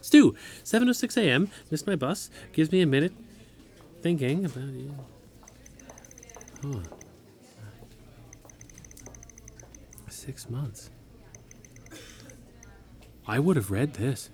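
A man's voice: on the high side, lively intonation, slow pace, pauses often, a little mellow. An actor playing a wounded, teasing young man in an audio drama, conversational and earnest. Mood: stunned, hurt. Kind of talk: naturalistic audio drama acting.

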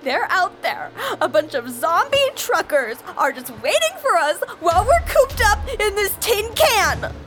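An actress playing a frightened, excitable young woman with a girlish, pleading manner in an audio drama. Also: very high voice, a little slow, few pauses, over music, exaggerated voice. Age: young adult. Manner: girlish, breathless pleading, slangy.

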